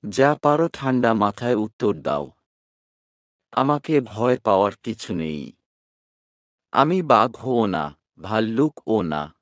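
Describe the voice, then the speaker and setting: A man voicing a calm young husband who is firm but gently reassuring, in a romantic audio story. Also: lowish voice, dry recording, studio quality. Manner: soft-spoken, reassuring, deliberate.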